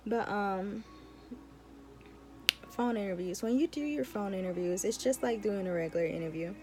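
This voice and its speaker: A woman's voice: mid-range voice, moderate pace, mellow, faint music behind. A young adult woman, a law student, chatting informally and giving advice in a casual vlog with emphatic repetition.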